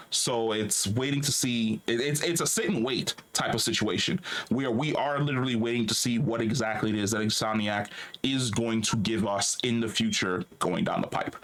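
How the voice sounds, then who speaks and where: A man's voice: lowish voice, a bit bright. A man hosting a gaming news show, talking loosely and chattily, swearing freely and repeating words while he gathers his thoughts. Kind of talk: casual gaming news commentary.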